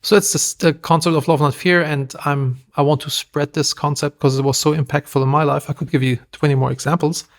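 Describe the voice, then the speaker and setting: A man with a German accent, a podcast guest telling stories in a lively, self-deprecating way. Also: on the high side, a little fast, few pauses. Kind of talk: casual interview talk.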